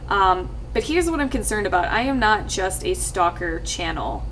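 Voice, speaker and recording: A young woman talking casually to her viewers, rambling with lots of fillers and restarts, her voice a little husky. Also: expressive, moderate pace, a bit bright. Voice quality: slightly husky, low-ish voice. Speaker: YouTube let's player. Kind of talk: casual talk to camera. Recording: distant mic with faint ambient noise.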